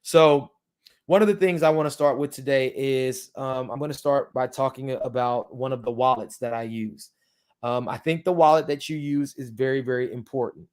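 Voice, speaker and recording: A man's voice: mid-range voice, normal speed, clean tone. A man hosting a casual tutorial for his viewers, his speech slightly thickened by dental aligners, rambling with many ums and you-knows. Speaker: crypto channel host.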